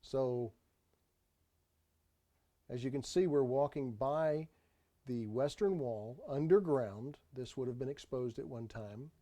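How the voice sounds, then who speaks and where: Mellow-voiced man narrating a travel video. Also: medium pitch, slow pace, pauses often. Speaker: tour narrator. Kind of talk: unscripted travel video voice-over.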